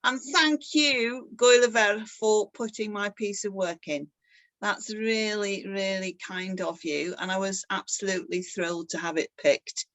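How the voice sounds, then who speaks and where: A woman's voice: medium pitch, fairly even intonation, measured pace, a bit bright, no echo. A middle-aged woman talking casually about her own artwork, chatty and self-deprecating, laughing often. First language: English. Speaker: artist giving a talk. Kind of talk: casual presentation.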